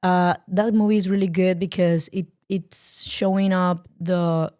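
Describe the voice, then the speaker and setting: Soft-spoken Colombian woman, halting. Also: lowish voice, steady tone. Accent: Colombian Spanish. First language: Spanish. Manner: halting, searching for words, many fillers. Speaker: podcast guest, filmmaker. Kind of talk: casual interview chat.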